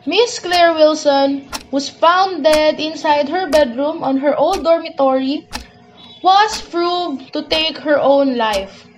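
A woman playing a stern female investigator in a radio drama, speaking formally and deliberately. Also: high voice, a little hiss or hum, low-quality recording. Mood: formal, solemn.

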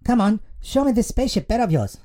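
A woman's voice: fairly high pitch, sing-song, fast talker, dark tone. A woman voicing a cheerful, friendly young child in a children's storybook.

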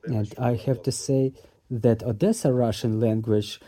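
A man giving interview answers in English translation, speaking in a measured way with restarts and repeated phrases. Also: medium pitch, steady tone, dark tone.

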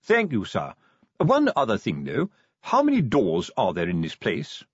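A man's voice: lowish voice, wildly swooping pitch, a little slow, slightly rough, no room sound, dull audio, full character voice. A man voicing a respectful, stolid police sergeant in an audiobook story. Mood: polite, dutiful. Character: deferential, plodding male police sergeant.

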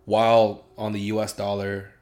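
Give me a relaxed young man talking casually as a guest, with a low voice and lots of fillers. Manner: relaxed, halting with many fillers and restarts. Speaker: podcast guest. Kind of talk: casual chat.

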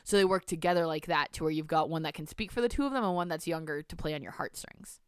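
A young woman co-hosting a chatty podcast, swearing freely and teasing her sister as she tells a spooky story. Her voice is smooth and clear, with a dry close-mic sound. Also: low-pitched, fast talker, no room sound. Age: 20s. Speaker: podcast co-host. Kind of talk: casual chat and storytelling.

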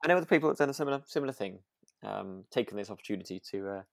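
A man co-hosting a podcast, musing in a relaxed, halting and conversational way. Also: fairly low pitch, creaky, dry recording, studio quality. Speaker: podcast co-host. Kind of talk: relaxed discussion of a radio drama.

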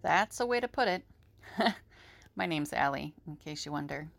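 Woman as self-assured artist, audio drama, wry, lowish and creaky, dry close recording. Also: animated, measured pace, fairly bright tone, no echo, heavily acted. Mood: amused.

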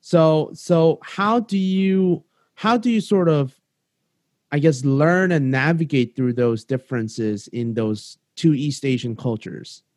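A man from South Korea hosting a casual podcast interview, halting and full of ums and self-corrections. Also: a little high, darkish tone, no room sound, clean studio sound. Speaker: podcast host.

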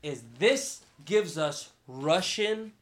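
Male podcast host, slightly high-pitched, opinionated and emphatic, swears freely in casual film chat. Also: expressive, very slow.

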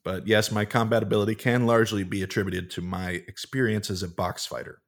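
A huge bunker brawler with a low-pitched voice, played by a man. He is deadpan and mock-dignified, chatting conversationally in a comedic doomsday radio drama. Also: fairly quick. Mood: matter-of-fact. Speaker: co-host of a comedic podcast drama.

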